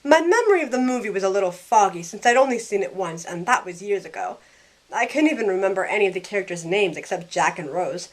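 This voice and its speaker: A woman's voice: medium pitch, animated, fast, lightly acted, poor audio. Snarky young woman played by an actress, wry storyteller. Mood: wry narration. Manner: wry, sarcastic. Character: snarky young woman thrown back aboard the Titanic. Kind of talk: first-person scripted narration and acted dialogue.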